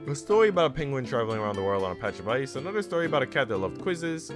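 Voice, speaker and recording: A man's voice: mid-range voice, sing-song, racing. A man live streaming, switching between reading a visual novel aloud and slangy, joking chat with casual swearing. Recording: music playing behind the voice. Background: plucked guitar music.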